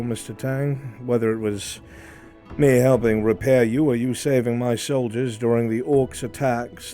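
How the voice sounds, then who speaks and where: A man's voice: medium pitch, dark tone, lightly acted. A man playing a stern, courteous imperial military man who speaks formally and rhetorically in a live fantasy roleplay. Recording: music behind the voice.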